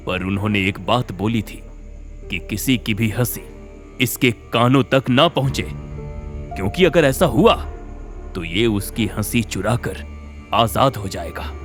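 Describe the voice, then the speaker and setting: Wise, solemn holy man for a cartoon tale, male actor; unhurried delivery, music underneath. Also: on the low side, animated. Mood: solemn explaining. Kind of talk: Hindi animated moral horror story acting.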